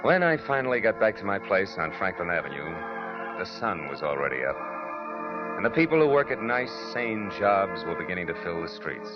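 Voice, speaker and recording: An actor playing a wry, weary private detective in a 1940s radio noir drama, with dry, deliberate, sardonic delivery. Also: male voice, low-pitched, big pitch swings, lo-fi sound. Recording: band-limited 1940s radio recording with music.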